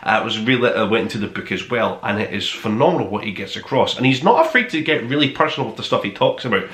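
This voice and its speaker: A man's voice: on the low side, lively intonation, fast, fairly bright tone. A man hosting a casual book review, talking to the viewer in an enthusiastic, rambling, conversational way. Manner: enthusiastic, effusive. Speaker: podcast host reviewing books. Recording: roomy, echoing room sound.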